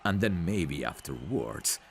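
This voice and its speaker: A man's voice: low voice, very animated, slow pace, a faint crowd, a little hiss or hum, heavily acted. A man playing a cocky, smug prizefighter in an ASMR roleplay audio drama, with a boastful, teasing, self-assured manner. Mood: smooth, teasing. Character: cocky, smug male prizefighter, proud of his looks. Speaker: voice actor.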